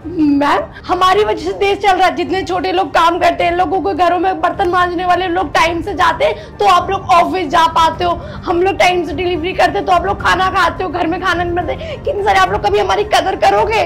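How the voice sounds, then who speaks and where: A woman's voice: extremely high pitch, fairly even intonation, fairly quick, gravelly, hardly any pauses, a bit bright, heavily acted. A young woman playing a wronged, proud delivery worker in a melodramatic skit, pleading indignantly. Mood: hurt, earnest. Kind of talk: melodramatic scripted Hindi skit acting.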